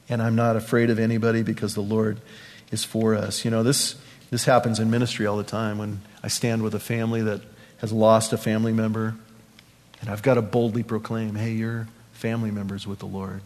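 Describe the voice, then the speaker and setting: A man preaching a conversational verse-by-verse sermon, often restating phrases and putting questions to his listeners. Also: on the low side. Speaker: pastor, preacher. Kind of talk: sermon, verse-by-verse Bible teaching to a congregation. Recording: long hall reverb.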